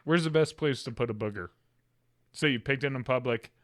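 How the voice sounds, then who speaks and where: A man's voice: medium pitch, a little fast, no echo, studio quality. A young man co-hosting a podcast in casual chat, loose and joking, cursing and acting out quoted lines. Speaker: podcast co-host.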